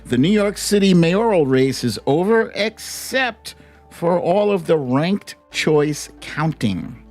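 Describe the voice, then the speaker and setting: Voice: mid-range voice, animated, takes it slowly, darkish tone. Casting: American livestream host, informal and playful, taking their time through casual greetings, faint music bed. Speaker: host of a live online show. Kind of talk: casual livestream chat with shout-outs to viewers.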